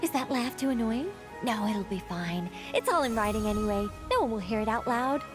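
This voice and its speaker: A woman's voice: on the high side, expressive, brisk, music in the background, close to an everyday voice. A woman voicing a whimsical, self-important female mage in a video game, theatrical, musing and grandly commanding. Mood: pensive, self-absorbed.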